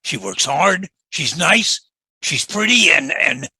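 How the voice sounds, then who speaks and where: A man's voice: on the high side, sing-song, slow pace, sharp tone, breathy edge, no room sound, exaggerated voice. An actor playing a loyal, indignant young lawman's assistant with an American accent and a plain, earnest way of speaking in a Western radio drama. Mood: upset, defiant. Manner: plain-spoken, stammering earnestness.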